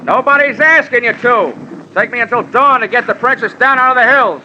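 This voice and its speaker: An actor playing a wry, slangy, hardboiled insurance investigator who also narrates an old-time radio drama. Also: male voice, very high voice, a little fast, bright, forceful tone, a little hiss or hum, full character voice. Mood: firm bargaining. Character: wry, tough American insurance investigator hero. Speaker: lead and narrator. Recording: muffled old radio recording.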